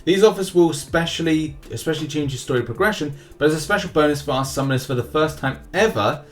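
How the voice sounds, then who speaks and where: A man's voice: on the high side, fast talker. A man hosting a gaming news video with casual commentary, rambling a little and repeating phrases for emphasis. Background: background music.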